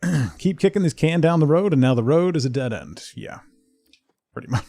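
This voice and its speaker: A man's voice: on the high side, animated, fairly quick, gravelly, dark tone, dry recording, clean studio sound. A middle-aged man streaming a game, chatting casually with viewers and muttering to himself in between. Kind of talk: casual chat while playing a game, replying to viewers.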